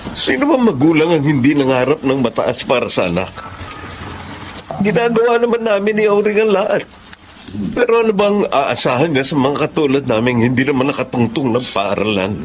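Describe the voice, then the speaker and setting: A man's voice: on the high side, very animated, a little fast. A man acting a frustrated, hurt middle-aged laborer father in a Filipino radio drama, sounding aggrieved. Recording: clear background ambience noise.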